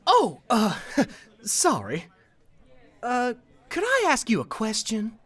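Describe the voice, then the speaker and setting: A performer voicing a flustered, cheerful male professor who stammers and is eager to please, in an animated comic fandub. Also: mid-range voice, slow, husky, exaggerated voice. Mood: chirpy, eager. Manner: stammering, nervous laughs.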